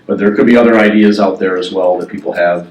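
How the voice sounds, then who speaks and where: A man working as a facilities planning consultant, giving a measured, explanatory presentation at a meeting with frequent ums and uhs. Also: low voice, steady tone, darkish tone. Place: meeting room. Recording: echoey room, voice from far off the mic.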